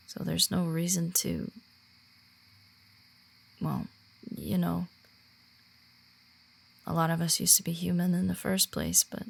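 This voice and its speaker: A friendly she-wolf of the pack, acted by a woman, talks in a slow, gravelly voice. She explains things in a reassuring, confiding way, in the manner of an ASMR roleplay. The tone is husky and a little low. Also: full character voice. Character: friendly female werewolf guiding a newcomer around the pack.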